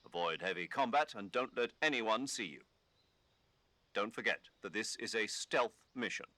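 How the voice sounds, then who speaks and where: An actor playing a commanding army major giving a mission briefing in a video game, crisp, formal and emphatic. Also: male voice, on the low side, expressive, normal speed, bright, forceful tone, no room sound. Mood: brisk, official. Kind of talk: video game cutscene acting.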